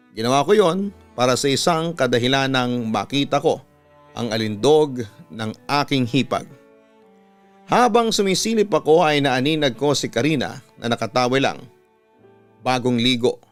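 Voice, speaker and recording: A Filipino man, a radio announcer, reading out a confessional letter as a dramatic storyteller. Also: medium pitch. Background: background music.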